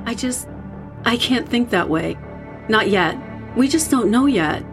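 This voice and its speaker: A woman's voice: on the high side, animated, measured pace. A woman playing an anxious young friend in a horror audio drama, hesitant and consoling, over scary music. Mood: anxious, reasoning. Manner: hesitant, soothing.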